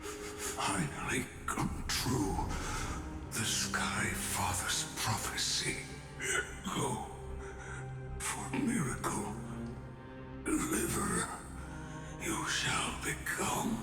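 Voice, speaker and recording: A weary, solemn old craftsman from a fantasy game. His words come very slowly and with effort, in inverted order, with huge swings of pitch. The voice echoes under loud music. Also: wildly swooping pitch, exaggerated voice. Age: older. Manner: halting, inverted word order, breathy effortful delivery. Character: aged master craftsman, solemn, weary, loyal to his city.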